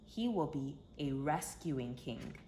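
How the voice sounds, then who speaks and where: A woman reading a children's story aloud in an expressive, emphatic storytelling manner. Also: deep voice, takes it slowly. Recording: roomy echo with background hiss.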